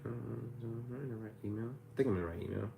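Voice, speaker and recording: A man with a hoarse, raspy voice from a cold, hosting a casual livestream and answering viewer questions about credit repair. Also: medium pitch, quick pace, echoey room, noisy background. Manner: reads viewer comments aloud, chatty and loose.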